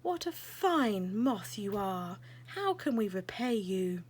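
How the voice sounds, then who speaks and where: A woman voicing a regal queen bee fairy in a children's storybook, soft but stern and measured. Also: mid-range voice, sing-song, a little hiss or hum. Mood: gracious.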